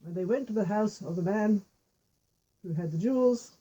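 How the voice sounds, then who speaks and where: A woman's voice: medium pitch, normal speed, mellow, husky, rough recording. A woman telling a folk tale informally, with repetition and little spoken asides. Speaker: storyteller with puppet figures.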